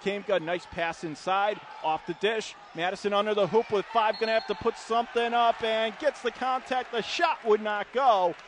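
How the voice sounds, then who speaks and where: A man calling live college basketball play-by-play on the radio with excitable, projected delivery. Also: sits high, normal speed, a crowd in the background. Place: courtside in an indoor college arena. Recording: loud arena noise behind the voice. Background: gym noise, bouncing basketballs.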